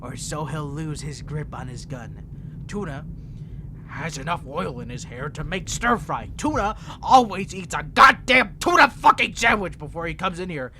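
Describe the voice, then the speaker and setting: A man's voice: lively intonation, moderate pace, background noise, lightly acted. A man voicing an insane, gleeful vampire prisoner with a grating voice, taunting theatrically in an audio roleplay. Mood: manic irritation. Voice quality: sharp, grating voice modeled on a famously shrill comedian. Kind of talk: acted ASMR audio roleplay.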